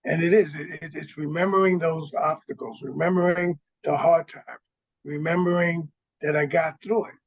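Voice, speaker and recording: A man with a fairly high, gravelly voice speaks in a reflective, halting way and often restarts his sentences. His sound comes over a dull, lo-fi line. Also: fairly even intonation. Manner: thoughtful, halting, many restarts. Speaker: radio show guest. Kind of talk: reflective conversation.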